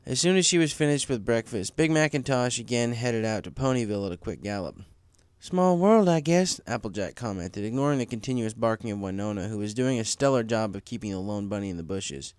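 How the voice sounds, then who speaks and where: A man's voice: lowish voice, fast, few pauses, smooth, dry recording. A man reading a story aloud as scripted narration. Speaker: story reader.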